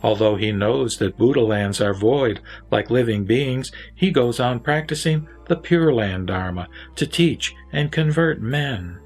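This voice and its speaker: A man reciting verse scripture as a dramatic scripted reading, with solemn, measured phrasing and pauses. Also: mid-range voice, slightly rough. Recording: background music under the voice. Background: music.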